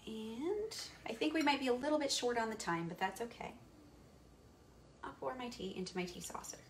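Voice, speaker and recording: A woman's voice: a little high, expressive, moderate pace, slight background noise. A young woman teaching history while she cooks in her home kitchen, chatty and explaining each step. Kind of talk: cooking demonstration with history lesson.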